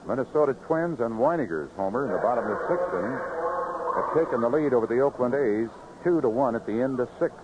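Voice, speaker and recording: An American man calling baseball play-by-play live on the radio in clipped phrases, in an animated manner. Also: mid-range voice, fast. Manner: animated calls rising sharply on big plays, clipped phrases. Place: ballpark broadcast booth. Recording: noisy, muffled vintage AM radio broadcast.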